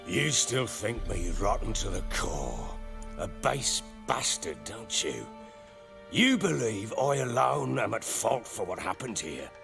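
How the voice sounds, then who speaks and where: An actor playing a gruff, bitter, hot-tempered middle-aged baron and soldier in a video game, coarse and blustering in his talk. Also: lowish voice, big pitch swings, slow, music in the background, full character voice. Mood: bitter, defensive. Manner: coarse, blustering, profane storytelling. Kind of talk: dramatic video game acting.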